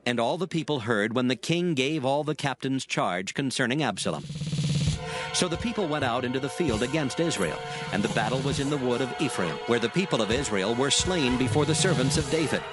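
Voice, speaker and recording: A man narrating dramatized scripture in a measured, formal reading style. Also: mid-range voice, expressive, over loud music.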